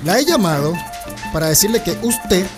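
A man's voice: high-pitched, sing-song, moderate pace, loud music behind the voice. A man playing a stern, contemptuous school official, curt and formal, in a radio drama. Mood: cold, reproving.

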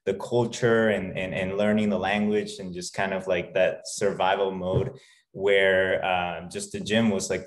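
A man from Peru speaks in a notably low voice, telling his story as a podcast guest. He talks loosely and haltingly, full of "uh" and "you know" and restarted phrases. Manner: halting, many fillers and restarts. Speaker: guest, fitness trainer. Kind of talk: casual interview talk.

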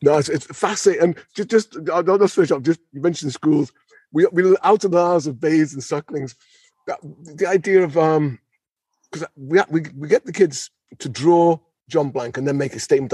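A man with a fairly high, husky voice talks as a researcher on a panel. He is enthusiastic and trips over repeated words, recorded close and dry. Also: dark tone, no room sound. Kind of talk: conversational expert discussion.

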